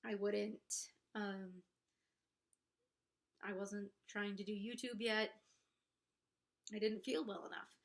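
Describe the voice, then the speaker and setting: A young woman vlogger speaks slowly at a middling pitch, sounding congested. Her delivery is casual and confessional, with a candid, halting and somewhat self-conscious way of getting her thoughts out. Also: steady tone, creaky. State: congested, pregnant. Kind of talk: casual confessional talk to camera.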